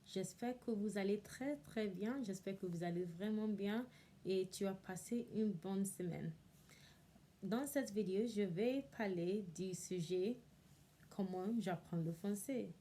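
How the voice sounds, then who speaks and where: Young woman, English native speaker, halting learner's French, slow, mellow warm tone. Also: medium pitch, a little hiss or hum. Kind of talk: casual talk to camera.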